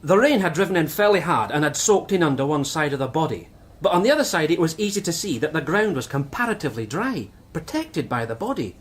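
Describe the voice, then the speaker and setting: An actor playing an eager, cheeky young police constable in a radio drama, keen to butt in. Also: male voice, a little high, very animated, fast. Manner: keen, forthcoming, naively interrupting.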